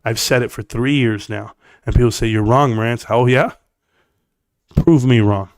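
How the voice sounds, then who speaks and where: A man's voice: medium pitch, normal speed, a little mellow, no echo, studio quality. Blunt man with a scratchy voice. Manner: blunt, emphatic, talks straight to the chat. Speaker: stock market live streamer. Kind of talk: opinionated casual livestream rant.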